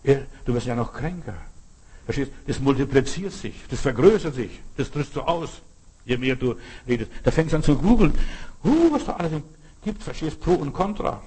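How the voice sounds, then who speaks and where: Husky southern German man preaching. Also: medium pitch, animated, normal speed, mellow, rough recording. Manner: colloquial, direct address with frequent check-in questions and repetition for emphasis. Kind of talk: informal sermon to a congregation.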